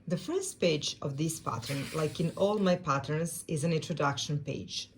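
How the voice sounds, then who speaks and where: A woman's voice: deep voice, darkish tone, a little hiss or hum. A woman from Serbia with a Serbian accent, speaking English as a second language while explaining a quilt pattern step by step, now and then searching for words. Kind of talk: sew-along tutorial.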